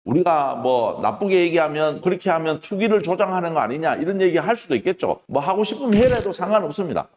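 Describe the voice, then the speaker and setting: A man's voice: on the high side, big pitch swings, fast talker, few pauses, darkish tone, in a large hall. A middle-aged man with a stuffy nose from a cold, giving an emphatic lecture-style talk as a real estate auction mentor, full of rhetorical questions. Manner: emphatic, rhetorical questions, ends points with "that is what I mean".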